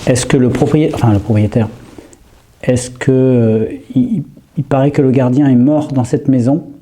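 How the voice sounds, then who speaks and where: A man with a heavy cold, sniffling, quietly calling out to spirits in an empty house and often trailing off and starting again. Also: medium pitch, fairly even intonation, normal speed, a little hiss or hum. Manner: hushed, hesitant, trailing off and restarting. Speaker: paranormal investigator. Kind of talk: live ghost-hunting vlog, calling out to spirits. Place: empty old house at night.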